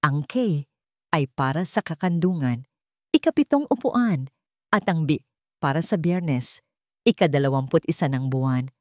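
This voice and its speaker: A gentle, wise old man, as a woman narrator plays him in an audio drama. He speaks with measured care and fatherly feeling, in a dry, close recording. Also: sits low, animated, dry recording. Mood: calm, explaining.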